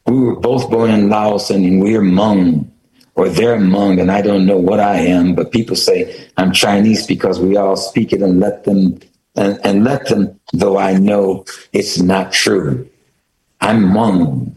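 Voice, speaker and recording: A man reading aloud in the voice of a grieving sixteen-year-old narrator, somber and steady. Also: low voice, measured pace. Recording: roomy echo, faint noise.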